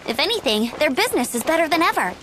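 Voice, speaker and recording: A woman voicing an earnest, upbeat young female knight scout in an animated video game, slightly formal and eager to help. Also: high-pitched, very fast, a little hiss or hum. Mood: eager, cheerful. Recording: music playing under the voice.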